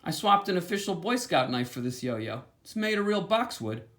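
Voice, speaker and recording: A man voicing a smooth-talking, cocky young boy who talks friends into trades, as a storybook reading. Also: high-pitched, big pitch swings, moderate pace, fairly bright tone, voice pushed up. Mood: persuasive. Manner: persuasive, cocky.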